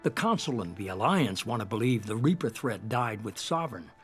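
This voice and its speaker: An actor playing a shadowy, calculating middle-aged leader in a sci-fi game, speaking with measured, composed authority. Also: male voice, fairly low pitch, very animated, husky, soft background music. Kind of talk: cinematic sci-fi game dialogue.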